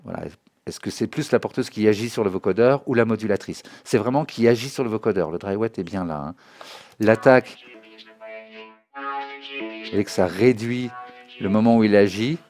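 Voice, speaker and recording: A man giving an informal spoken software tutorial in a didactic way, often checking that the listener follows. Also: lowish voice, normal speed, long pauses. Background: synthesizer and music demo sounds.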